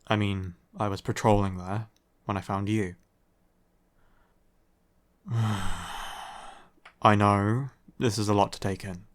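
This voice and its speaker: A man playing a grumpy young male vampire in an intimate audio roleplay, curt and deadpan, with a reluctantly caring streak. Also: lowish voice, takes it slowly, long pauses. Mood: reluctantly sympathetic, explanatory. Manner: deadpan, curt, dry sighing delivery. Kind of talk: audio roleplay, intimate ASMR-style acting.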